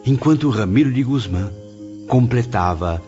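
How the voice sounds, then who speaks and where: A man's voice: medium pitch, lively intonation, unhurried, dark tone, natural voice. A man playing a remorseful spirit writer who recounts things in a solemn, literary, declamatory way, as in an audio novel. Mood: awed, reverent. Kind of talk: audio novel narration in character. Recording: dull muffled audio under music.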